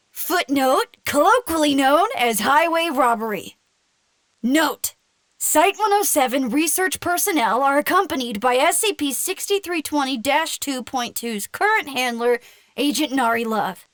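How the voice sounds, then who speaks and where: An impassive narrator of scientific logs. Her voice is high and very dry in sound, with formal, precise, clinical diction that keeps its distance. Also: dry recording. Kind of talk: scripted narration, clinical report reading.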